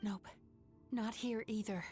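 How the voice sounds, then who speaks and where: A woman voicing a composed, wise dream guide in a video game, speaking in a calm, measured, explanatory way. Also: mid-range voice, music in the background, natural voice. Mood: cautious.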